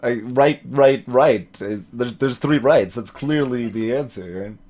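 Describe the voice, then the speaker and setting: A man's voice: mid-range voice, slow pace, darkish tone, a little hiss or hum. A man giving casual commentary as the host of a video game let's play.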